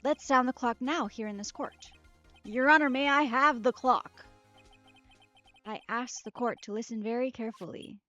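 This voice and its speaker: An earnest young defense attorney presses his case, declaiming each point with determination, read by a woman. The voice sits high, slightly muffled, with game music playing underneath. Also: normal speed, bright, forceful tone. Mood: explaining. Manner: determined, declaiming, reading aloud.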